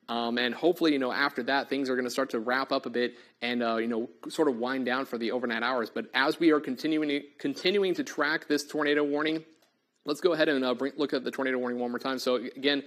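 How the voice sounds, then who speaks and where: Hoarse man giving urgent live weather warnings. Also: mid-range voice, a bit bright. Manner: urgent, repetitive, filler-heavy live delivery. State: losing his voice. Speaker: TV meteorologist. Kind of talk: live severe weather coverage. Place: TV studio in front of a weather wall. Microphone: lapel mic.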